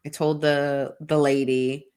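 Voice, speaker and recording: A woman's voice: low-pitched, flat delivery, slow, darkish tone, smooth. A woman co-hosting a podcast, chatting frankly and rambling with lots of "like" and swearing, sounding congested. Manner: rambling and frank, swears often, heavy "like" filler. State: congested from a sinus infection. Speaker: podcast co-host. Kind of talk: casual chat.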